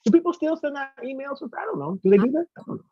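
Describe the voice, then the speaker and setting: A man who sings and teaches voice, talking as a guest in a casual live interview, telling stories in an animated way and acting out what people say. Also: extremely high pitch, rapid-fire, no echo, lo-fi sound. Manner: talkative, animated storytelling with acted-out quotes and demonstrations.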